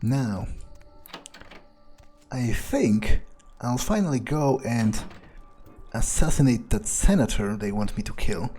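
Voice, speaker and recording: A man's voice: mid-range voice, lively intonation, slow pace, pauses often, dark tone, soft background music. A man playing a cold, thrill-seeking vampire vigilante, musing aloud in a sardonic way as he narrates a game. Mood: casual relish. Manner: musing, hesitant, sardonic, swearing. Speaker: gamer role-playing a character in a playthrough.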